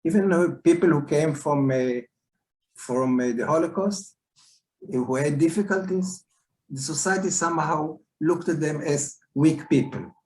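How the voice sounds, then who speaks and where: A man in his seventies with an Israeli accent, speaking as a guest psychologist over a video call, reflective, with pauses and fillers. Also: a little high, darkish tone, a little hiss or hum, rough recording. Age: 70s. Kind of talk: virtual talk.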